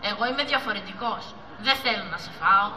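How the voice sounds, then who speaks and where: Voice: mid-range voice, a crowd in the background, lightly acted. Young teen playing a deceitful dogfish, distant and echoey. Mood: coaxing. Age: young teenager. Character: deceitful male dogfish posing as a friend. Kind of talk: amateur school puppet theatre acting. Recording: distant, echoey hall with audience noise.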